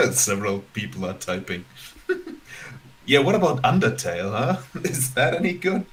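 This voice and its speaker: A man's voice: medium pitch, big pitch swings, measured pace. A man in casual banter, chatting along and teasing with short questions.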